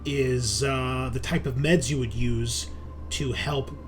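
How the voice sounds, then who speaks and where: A man's voice: medium pitch, fairly even intonation, slow, faint music behind. A man running a tabletop sci-fi game as game master, describing scenes conversationally and correcting himself as he improvises.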